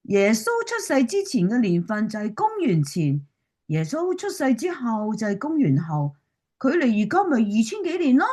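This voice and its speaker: A woman's voice: fairly high pitch, sing-song, moderate pace, dark tone, breathy edge. A woman playing a chirpy, curious schoolchild in a Cantonese radio drama. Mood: earnest, curious. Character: eager curious schoolchild visiting grandparents.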